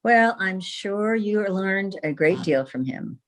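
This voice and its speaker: A woman playing a brisk, skeptical but caring niece in an old-time radio comedy, dryly dismissive. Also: medium pitch, animated. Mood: skeptical, curious. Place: home, online video-call production.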